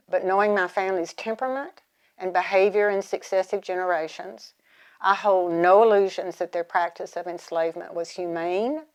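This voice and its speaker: An American woman in her seventies or older, from the South, giving reflective personal testimony in articulate, formal wording. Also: fairly low pitch. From southern United States. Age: 70s or older.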